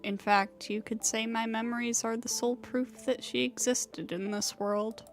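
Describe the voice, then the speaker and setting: A woman's voice: a little high, fairly even intonation, normal speed, faint music behind. A woman streaming a game, reading dialogue aloud and reacting as she plays. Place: voice-over on a game livestream.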